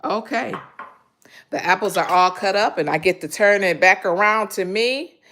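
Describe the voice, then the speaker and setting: A woman from Michigan hosting a casual live stream from her home kitchen, with a loud voice, rambling and chatty, full of ums as she talks straight to her viewers through a phone camera. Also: mid-range voice, moderate pace, slight background noise. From Michigan, USA.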